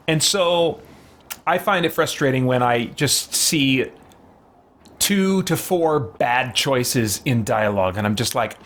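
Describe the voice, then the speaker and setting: A man's voice: mid-range voice, fairly bright tone, slight background noise. A man hosting a gaming series, giving reflective, conversational commentary as he thinks aloud. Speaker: host of a roleplay gaming series.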